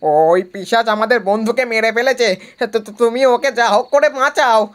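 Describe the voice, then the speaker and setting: A man's voice: very high-pitched, moderate pace, fairly bright tone, clean tone. Man acting a brash young traveller. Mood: terrified. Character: bold, dismissive young man travelling with friends. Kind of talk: horror cartoon voice acting.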